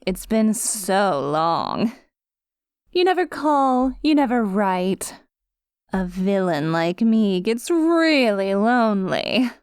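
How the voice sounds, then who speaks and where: A woman's voice: mid-range voice, sing-song, slow pace, clean tone, dry recording, studio quality. A woman playing a needy, taunting female supervillain obsessed with a hero, in a theatrical, coy ASMR roleplay monologue. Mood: mock-lonely, coy. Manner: theatrical, coy, swooping between pouty and menacing.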